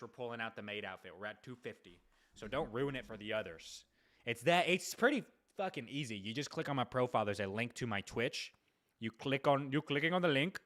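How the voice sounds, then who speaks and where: A man's voice: medium pitch, fairly bright tone. A young American man hosting a livestream, chatting casually with streamer slang and some swearing. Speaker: livestream host and prank caller. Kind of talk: livestream chat.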